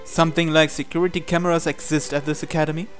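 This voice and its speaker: A man reading visual novel dialogue aloud in character, with a hesitant, halting delivery. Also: on the high side, flat delivery, a bit bright. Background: game music.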